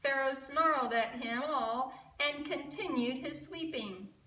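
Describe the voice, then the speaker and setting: A woman reading a picture book aloud to children in an expressive, engaging way. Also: sits high, a little slow. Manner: expressive, asks the young viewers questions. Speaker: children's storyteller at a church story time. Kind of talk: animated read-aloud for children. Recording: roomy, from a distant mic.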